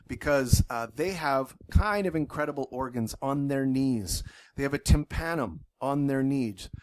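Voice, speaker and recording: A man lecturing at a university in a middle-pitched voice. He talks with enthusiasm, phrasing things loosely and often pausing on fillers. He corrects himself mid-sentence as he goes.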